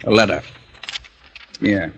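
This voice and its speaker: A man's voice: on the low side, takes it slowly, creaky, breathy edge, full character voice. An actor playing an irascible, imperious older rich man in an old radio drama, with testy, emphatic delivery. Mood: confiding, grave. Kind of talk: 1940s-50s radio drama acting.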